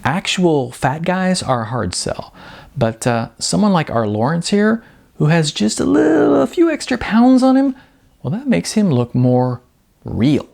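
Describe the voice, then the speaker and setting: A man's voice: a little high, big pitch swings, measured pace, gravelly, a little mellow, voice pushed up, exaggerated voice. A man voicing a brash, chatty middle-aged female talent agent, breezy, blunt and flirty, in an audiobook. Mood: teasing, emphatic. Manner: breezy, wisecracking, emphatic.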